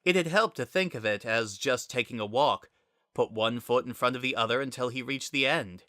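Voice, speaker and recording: A man's voice: medium pitch, moderate pace, bright, forceful tone, no echo, clean studio sound. A man reading a scripted story aloud as a dramatic narrator.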